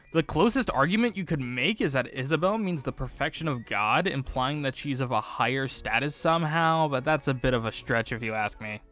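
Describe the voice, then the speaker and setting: A man's voice: high voice, a bit bright. A man hosting a fan video, delivering scripted explainer commentary with informal, opinionated asides. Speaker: host of a fan video about game name localizations.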